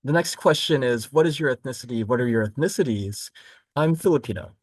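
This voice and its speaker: Relaxed male instructor, dry close sound. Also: medium pitch, fairly quick, husky, dry recording. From Florida, United States. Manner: relaxed and explanatory, with frequent "um" and self-corrections. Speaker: college literature instructor. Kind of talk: recorded course overview lecture.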